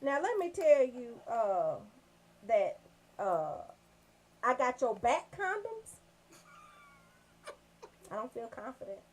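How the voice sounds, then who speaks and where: High-pitched, animated woman radio comedian. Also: slow, pauses often, low-quality recording. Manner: brash, animated storytelling with emphatic check-ins. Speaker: radio show host. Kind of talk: comedic radio monologue, raunchy banter.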